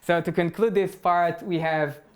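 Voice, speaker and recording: A man's voice: sits high, steady tone, measured pace. A man giving a technical talk and answering audience questions, speaking as a with frequent fillers and restarts. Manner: hesitant, many fillers and restarts. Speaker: tech talk presenter.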